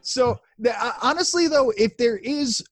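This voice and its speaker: A man's voice: extremely high pitch, moderate pace, a bit bright, faint music behind. A man chatting casually and informally on a podcast. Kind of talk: casual chat.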